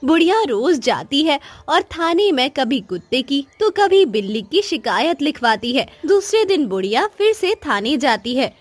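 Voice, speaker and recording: A woman's voice: high-pitched, sing-song, measured pace, hardly any pauses, no room sound. A woman narrator reading a scripted children's moral story.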